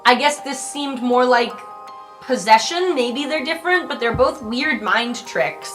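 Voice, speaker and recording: Woman player, improvised game dialogue, bright and slightly high, casual delivery, faint music behind. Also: animated, measured pace. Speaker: player in a roleplay podcast. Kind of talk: casual improvised tabletop roleplay chat.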